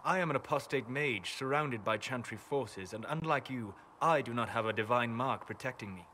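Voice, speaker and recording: An actor voicing a calm, reserved elven apostate mage in a video game, speaking in a measured, formal, thoughtful way. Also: male voice, mid-range voice, lightly acted. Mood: guarded, earnest. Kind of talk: video game dialogue acting. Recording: clear ambient noise behind game dialogue.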